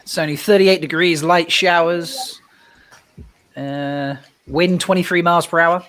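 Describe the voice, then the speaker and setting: A man's voice: a little high, fairly even intonation, measured pace, fairly bright tone, smooth. A man on a livestream panel talking casually. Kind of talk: casual chat.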